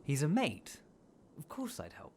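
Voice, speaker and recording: A man playing a loyal, rough-tongued but apologetic friend in an audio drama, halting and subdued. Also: fairly high pitch, wildly swooping pitch, darkish tone, slight background noise, heavily acted. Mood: sheepish.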